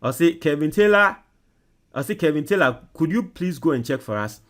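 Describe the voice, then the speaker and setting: A man's voice: a little high, lively intonation. Emphatic Ghanaian man hosting a show. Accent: Ghanaian English. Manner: loud and emphatic when reading comments, quiet and halting while typing. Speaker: social media political show host. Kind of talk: reading out viewer comments and dictating an email.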